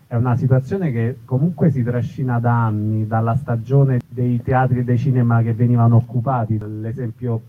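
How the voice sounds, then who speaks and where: A man's voice: mid-range voice, fairly even intonation, normal speed, few pauses, a little hiss or hum. Male interviewer, thin phone-like sound. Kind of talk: casual on-stage interview. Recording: thin phone-like live recording.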